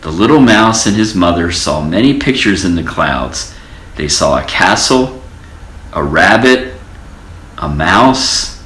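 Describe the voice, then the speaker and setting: A man reading a children's storybook aloud as an expressive storyteller, in an echoey room. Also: sits low, slow. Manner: expressive storytelling with character voices. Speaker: storybook reader.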